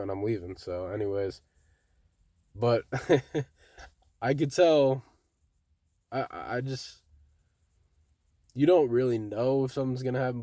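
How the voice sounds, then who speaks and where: A young man casually telling a story to his viewers in a monotone, laid-back way, often saying "bro". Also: lowish voice, no room sound. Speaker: vlogger.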